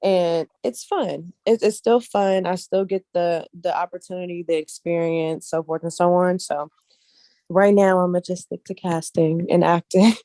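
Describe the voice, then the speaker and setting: A woman's voice: fairly low pitch, steady tone, moderate pace, warm tone, no echo. A woman speaking casually as an interview guest, repeating points for emphasis and often checking that the listener follows. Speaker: interview guest, casting director and actress.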